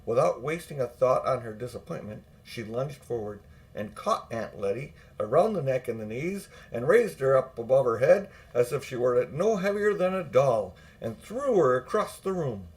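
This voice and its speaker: Older male audiobook narrator, mid-range pitch, reading children's fiction. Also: animated, moderate pace, a little mellow.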